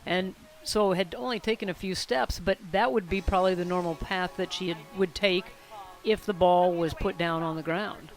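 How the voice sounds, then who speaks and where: A woman's voice: on the low side, fairly even intonation, normal speed, low-quality recording. A woman doing live sports commentary in a tentative way, thinking aloud as she goes. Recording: live sports broadcast with music behind.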